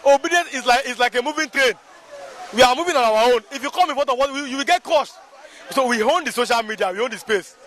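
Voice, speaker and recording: A man with a strikingly high voice and a Yoruba-tinged Nigerian accent argues forcefully in the open air. He repeats himself for emphasis and keeps asking whether you understand. A faint murmur of people can be heard around him. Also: expressive, fast talker, some chatter behind. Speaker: local spokesman of a political movement. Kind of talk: impassioned street interview.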